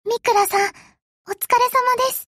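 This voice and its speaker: A woman voicing a sweet, polite little warship girl in an anime game, speaking gently. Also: very high-pitched, steady tone, moderate pace, no echo, clean studio sound. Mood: shy, grateful. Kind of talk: anime game character voice acting.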